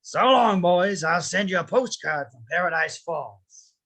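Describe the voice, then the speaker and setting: A man playing a curt, stubborn, grumbling elderly widower in a table read of an animated film script, with a gravelly, husky voice. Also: sits high, unhurried, full character voice. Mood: triumphant.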